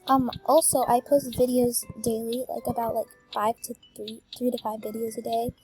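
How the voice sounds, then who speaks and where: A teenage girl chatting casually while she plays a game, high-pitched and bubbly, with lots of ums. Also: level tone, normal speed, mellow, soft background music. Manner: bubbly, full of filler ums, exclaims at game fails. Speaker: gaming YouTuber. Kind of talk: casual voice-reveal chat while gaming.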